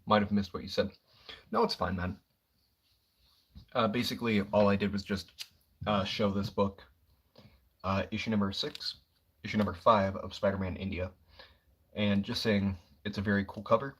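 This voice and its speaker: A young man talking quietly and casually on a livestream, rambling about comic books with lots of fillers. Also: lowish voice, fairly even intonation, moderate pace, long pauses, slightly rough. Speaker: comic book streamer.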